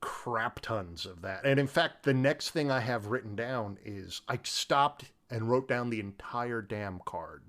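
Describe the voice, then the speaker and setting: A man's voice: mid-range voice, a little slow, few pauses, a bit bright. A man co-hosting a casual film discussion, talking colloquially with emphatic, incredulous delivery. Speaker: podcast co-host discussing a film. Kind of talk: casual chat.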